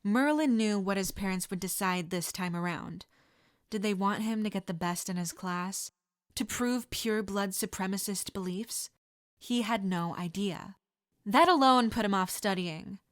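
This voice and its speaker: A woman reading fan fiction aloud as an audiobook narrator, steady and expressive. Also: mid-range voice, measured pace. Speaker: podfic reader.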